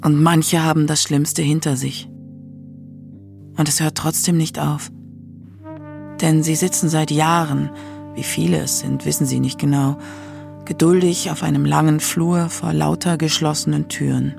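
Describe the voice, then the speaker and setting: A man narrating a scripted radio documentary in a measured, solemn manner. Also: a little high, little pitch movement, gravelly, a little mellow, faint music behind.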